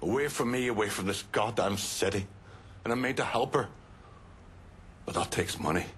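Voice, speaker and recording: An actor playing a profane, embittered middle-aged Irish mobster in a video game cutscene, blunt and coarse. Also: male voice, fairly low pitch, moderate pace, a bit bright, slight background noise, lightly acted. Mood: bitter, remorseful. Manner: blunt, coarse, swearing freely. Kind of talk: dramatic game cutscene acting. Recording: music behind the voice.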